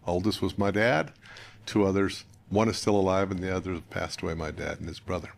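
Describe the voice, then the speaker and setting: An older American man from northern Utah, a guest candidate giving plain-spoken, conversational interview answers in measured phrases. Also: low voice, steady tone, vocal fry, slight background noise. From northern Utah, United States. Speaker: political candidate, podcast guest.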